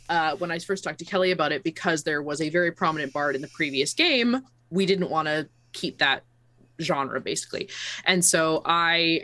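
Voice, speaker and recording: A woman chatting casually about playing a game character, with a halting, self-deprecating manner and frequent restarts. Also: sits low, a bit bright, dry recording. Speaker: player and costume maker. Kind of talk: casual chat.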